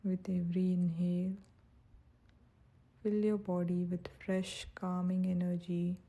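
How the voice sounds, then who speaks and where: A woman narrates a guided meditation in a very mellow, soft and breathy voice. Her pitch sits a little low and stays quite even. She speaks gently and slowly, with a soothing, unhurried manner.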